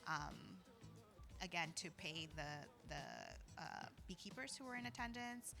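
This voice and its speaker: A woman's voice: on the low side, animated, unhurried, vocal fry, pushed and bright, faint music behind. A woman speaking earnestly as a community organizer and guest in a casual podcast conversation, often dropping in "you know" and "like".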